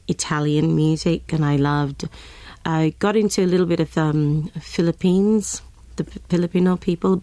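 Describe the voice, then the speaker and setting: Low-voiced Australian woman, hesitant. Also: darkish tone, no echo. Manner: hesitant, with many ums and restarts. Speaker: guest singer. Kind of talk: casual interview chat.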